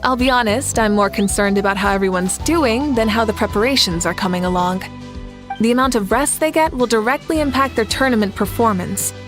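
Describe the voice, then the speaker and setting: A woman playing a dignified, caring female leader in a video game, speaking in a measured, assured way. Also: fairly high pitch, close to an everyday voice. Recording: game music behind the voice.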